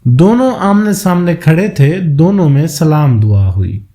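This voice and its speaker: A man's voice: a little high, expressive, a little slow, warm tone. A man narrating a scripted moral folk tale as a storyteller.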